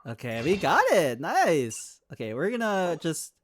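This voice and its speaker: A man talks casually to his livestream audience as he plays. His pitch moves around a lot, he repeats himself excitedly, and there is faint noise with some clicks. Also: unhurried. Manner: informal, excitable, repeats phrases. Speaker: game streamer. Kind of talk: casual livestream chat while gaming.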